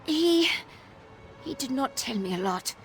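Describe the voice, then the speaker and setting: A woman's voice: high voice, animated, unhurried, soft background music. A woman playing a wary, downtrodden young Nord informant in a video game, telling things in a guarded, world-weary way. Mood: guarded, wistful. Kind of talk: video game dialogue acting.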